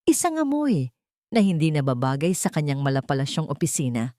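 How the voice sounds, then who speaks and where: Low-voiced woman narrating a dramatic story. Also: very animated, a little fast, dark tone, dry recording, studio quality. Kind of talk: first-person dramatic scripted story narration.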